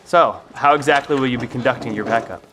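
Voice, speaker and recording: An actor playing an anxious, protective middle-aged scientist leading survivors, speaking in an urgent, earnest, naturalistic audio drama style. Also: male voice, mid-range voice, quick pace, a bit bright, slight background noise, lightly acted. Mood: skeptical.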